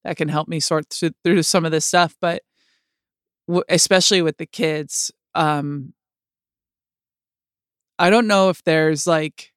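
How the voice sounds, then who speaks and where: A woman with a lower voice than most women, speaking candidly in a conversation with many likes and restarts. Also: steady tone, a little slow, pauses often, clear, resonant tone, no room sound, studio quality. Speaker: guest sharing her experience.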